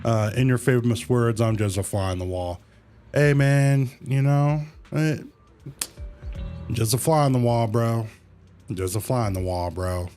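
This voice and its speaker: A man hosting a livestream, chatting casually with viewers in a halting way, thinking aloud and repeating his phrases. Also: medium pitch, warm tone. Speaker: livestream host. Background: music.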